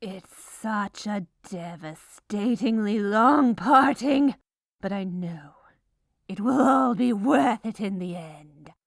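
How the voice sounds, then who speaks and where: Slow, theatrically menacing woman in scripted ASMR. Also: mid-range voice, animated, vocal fry, dry recording, clean studio sound. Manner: theatrical, menacing, gleeful villainous delivery of mundane baking steps. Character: gleefully menacing baker, melodramatic and secretly evil woman. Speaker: ASMR roleplay performer. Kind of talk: scripted ASMR comedic narration.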